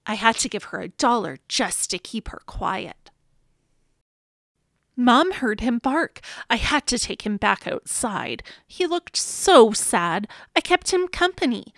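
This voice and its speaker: A woman's voice: fairly high pitch, very animated, takes it slowly, vocal fry, fairly bright tone, no echo, studio quality. A woman hosting a read-aloud, narrating expressively and dramatically for small children. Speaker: storybook reader, channel host.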